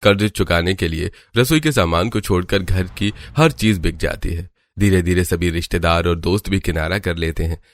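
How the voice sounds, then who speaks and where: A man's voice: low-pitched, normal speed, few pauses. A man narrating a scripted family story as its storyteller.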